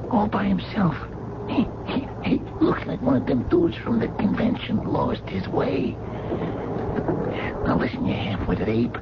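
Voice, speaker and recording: An actor playing a bossy, jumpy young mugger in a radio drama, stammering out excitable, menacing tough talk. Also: male voice, high-pitched, a little slow, exaggerated voice. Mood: tense, bossy. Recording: dull lo-fi radio recording with loud noise.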